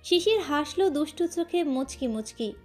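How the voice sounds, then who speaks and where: A woman narrates a romantic audio story from a script. Her voice sits high in pitch. Faint music plays under the narration. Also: animated, moderate pace, a little mellow.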